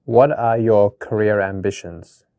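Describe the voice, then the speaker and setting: Male interviewer, lowish voice, dry sound, plain scripted questions. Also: steady tone, unhurried, dry recording, narrow, tinny audio. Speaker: interviewer and announcer. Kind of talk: scripted questions and title read.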